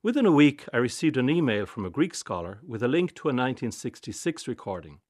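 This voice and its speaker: A man delivers scripted narration in formal, carefully composed phrases. His mid-range voice moves freely up and down in pitch, and the recording is dry. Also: very animated, fast, darkish tone, no echo.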